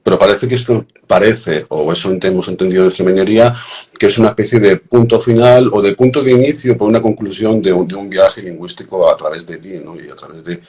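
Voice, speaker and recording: A man hosting an interview, asking questions in a measured, inquisitive way. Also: low voice, a little mellow. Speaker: host, interviewer. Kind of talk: conversational interview.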